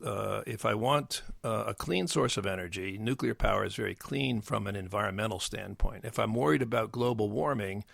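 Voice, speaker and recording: An older man with a bass voice, explaining as an interview guest in a halting way with many fillers and restarts. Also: fairly bright tone, dry recording. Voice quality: gravelly bass voice. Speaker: guest, nuclear engineer. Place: dry podcast studio. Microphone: studio mic.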